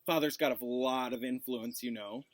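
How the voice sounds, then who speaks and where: A man's voice: medium pitch, steady tone, moderate pace, no room sound, natural voice. A man voicing a smug, spoiled schoolboy bully with a drawling, mock-pitiful delivery in a storybook reading.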